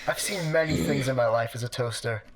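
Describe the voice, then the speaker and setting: A man playing a prissy, sarcastic toaster computer AI in a sci-fi comedy radio play, with a dry, formal, deadpan delivery. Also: mid-range voice, fairly quick, natural voice. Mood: disapproving.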